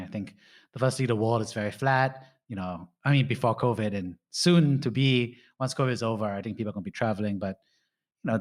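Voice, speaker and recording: A man hosting a podcast talks in a fairly low voice. He rambles through casual advice and peppers it with "you know" and "right?". He sounds like he's in his thirties. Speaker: podcast host.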